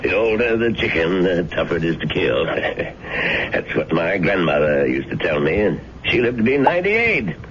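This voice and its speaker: An actor playing an easygoing, folksy family doctor in a radio drama. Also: male voice, low-pitched, sing-song, normal speed. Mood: friendly. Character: genial small-town male family doctor. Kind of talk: 1970s radio drama acting. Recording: dull old radio broadcast with hiss.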